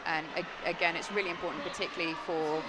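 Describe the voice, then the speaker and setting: A British woman commentating live on a roller derby game, earnest and explanatory, rising in excitement on the plays. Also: fairly low pitch, quick pace, poor audio. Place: sports venue.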